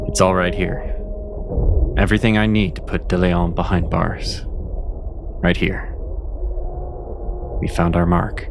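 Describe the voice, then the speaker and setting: A man playing a grave, weary, principled investigator in a fantasy audio drama, speaking tersely and deliberately. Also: low-pitched, level tone, gravelly, background noise. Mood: intent, grave.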